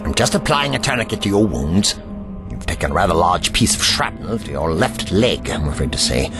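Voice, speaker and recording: A man acts an eccentric British time traveller in a sci-fi radio play. He is courteous yet bossy, with old-fashioned phrasing and hugely varied pitch. Music plays clearly behind him. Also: very animated, a little fast. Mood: calm, soothing. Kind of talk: audio drama acting.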